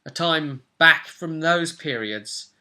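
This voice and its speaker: A man's voice: on the high side, slow, sharp tone. A man in his twenties casually recapping a tabletop role-play session as game master, recorded on a webcam in a room at home. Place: small domestic room indoors.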